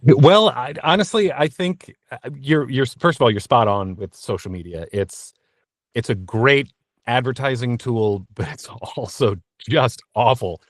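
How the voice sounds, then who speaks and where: A man's voice: medium pitch, expressive, a little slow, dry recording, clean studio sound. A man answering interview questions as a guest, casual and candid, often thinking aloud in informal language.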